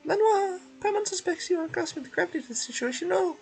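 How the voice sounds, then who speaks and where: Squeaky excitable little fairy. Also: male voice, quick pace, gravelly, heavily acted. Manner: speaks of self in third person, chirpy.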